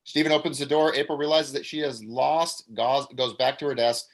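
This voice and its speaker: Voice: medium pitch, a little fast. A performer reading the stage directions aloud at a table read in a plain, matter-of-fact way. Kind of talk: table read of an amateur play.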